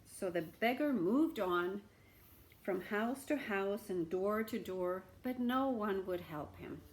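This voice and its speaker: A woman reading a story aloud expressively, with dramatic pauses and small asides to the listeners. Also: medium pitch, expressive.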